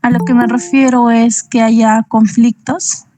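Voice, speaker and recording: A woman's voice: on the high side, little pitch movement, unhurried, mellow. A woman student in an online class explaining carefully what she likes and dislikes. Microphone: video call.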